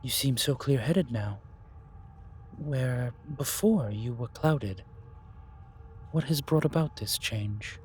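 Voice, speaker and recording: A man playing a calm, wise, otherworldly keeper of magic in a fantasy audio roleplay, speaking formally and ceremoniously. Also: mid-range voice, takes it slowly, pauses often, a little hiss or hum. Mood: calm, questioning.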